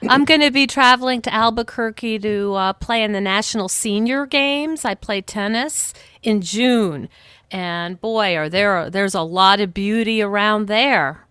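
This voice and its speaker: An older woman hosting a podcast interview, effusive and quick with exclaimed reactions. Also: medium pitch, fairly bright tone, clean tone, no echo. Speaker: podcast host. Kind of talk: casual interview.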